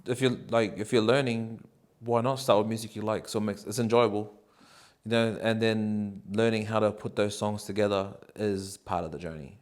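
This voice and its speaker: A man, answering interview questions in a relaxed, rambling way with many ums and likes. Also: fairly low pitch, moderate pace. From New Zealand. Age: 30s.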